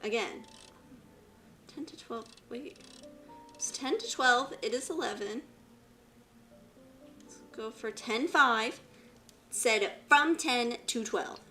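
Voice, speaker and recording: A woman gives casual, playful game commentary in a somewhat high voice, often muttering to herself at an easy, slowish pace. She sounds set back from the mic, with clear game music behind her. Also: bright, forceful tone, far from the mic. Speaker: game streamer, VTuber. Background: video game music.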